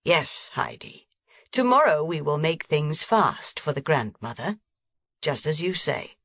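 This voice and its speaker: A woman voicing a gruff, stern old grandfather in a storybook reading. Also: deep voice, very animated, unhurried, a bit bright, no echo, heavily acted. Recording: dry, slightly dull recording.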